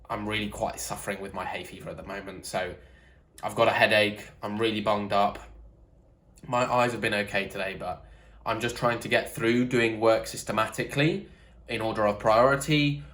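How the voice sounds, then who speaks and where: A man's voice: on the low side, fairly bright tone. A young man, giving frank, easygoing video diary updates to a handheld camera. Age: young adult. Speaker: student vlogger.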